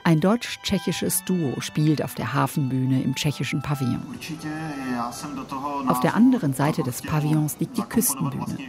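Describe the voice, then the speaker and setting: A woman reading a scripted radio culture report in a measured, clear newsreading manner. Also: deep voice, a little mellow.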